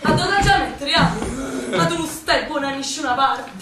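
A woman's voice: high-pitched, lively intonation, normal speed. An actress playing a bold, defiant young Neapolitan woman in a radio drama, teasing and headstrong. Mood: urgent. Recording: echoey, distant, faint noise.

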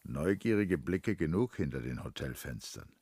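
Deep-voiced male radio play narrator. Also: sing-song, normal speed, vocal fry, darkish tone, breathy edge, no room sound.

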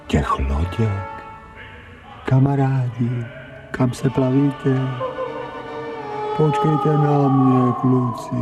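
An actor in a radio drama playing a grumbling, cynical stray dog who thinks aloud like a human in colloquial speech. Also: male voice, low-pitched. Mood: dreamy rapture. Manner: colloquial Czech, muttering, pleading when frightened. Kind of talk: radio drama acting, inner monologue. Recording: music behind the voice.

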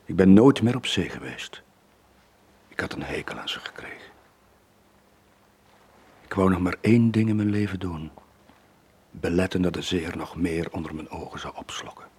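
A haunted older ex-sailor in a Flemish radio drama. His voice sits very low and is thick with husk and breath. He sounds brooding and worn by sleepless guilt. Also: animated, normal speed, pauses often, a little mellow, breathy edge, full character voice. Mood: bitter, resolute. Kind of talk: 1980s radio drama acting.

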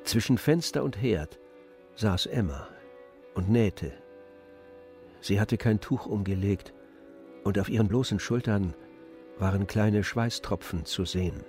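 A man narrating a literary radio play in a measured, composed manner. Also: on the low side, a little mellow. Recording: dry studio with faint music.

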